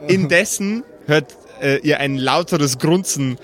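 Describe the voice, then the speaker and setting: A man's voice: fairly high pitch, takes it slowly, a bit bright. A man acting as game master, narrating an improvised tabletop role-playing game.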